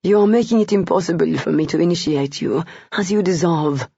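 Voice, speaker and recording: Lady doing a restrained gentleman lover, husky low voice, kinda dull dry recording. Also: a little fast, dry recording. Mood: urgent, strained. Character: ardent male gentleman lover, strained and tender.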